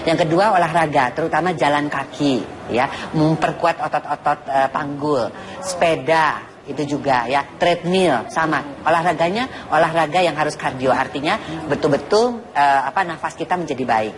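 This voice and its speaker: Low-voiced woman doctor in a noisy studio. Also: normal speed. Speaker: doctor, health expert guest on a talk show. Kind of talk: informal medical advice on a comedy talk show. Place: television studio with audience. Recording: noisy TV studio with loud audience. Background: audience noise and laughter.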